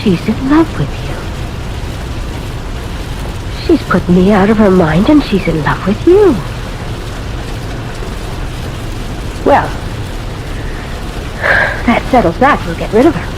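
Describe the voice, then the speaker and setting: An actress playing an intense, domineering, bitterly jealous woman in an old-time radio drama, speaking in a commanding, deliberate, dramatic way. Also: medium pitch, big pitch swings, noisy background, close to an everyday voice. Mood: cold, commanding. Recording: old radio recording with music.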